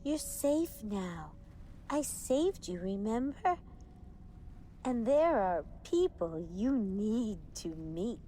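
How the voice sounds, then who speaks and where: A woman's voice: mid-range voice, big pitch swings, slow pace, warm tone. Casting: eerie, dreamy female guide, radio theatre. Lilting, sweeping intonation over faint ambient noise. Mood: possessive, eerie. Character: eerie, dreamy, enigmatic woman guiding through a foggy swamp.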